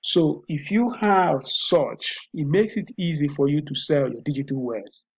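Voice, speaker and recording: A man's voice: a little high, a little slow, gravelly, breathy edge. A man with a Nigerian accent hosting a solo commentary show, thinking aloud with frequent repeats and restarts. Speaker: host.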